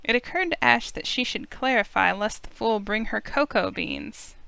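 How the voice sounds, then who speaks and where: A woman's voice: a little high, normal speed, creaky, bright, forceful tone, clear, resonant tone, no echo. A woman reading visual novel narration expressively as the host of a playthrough.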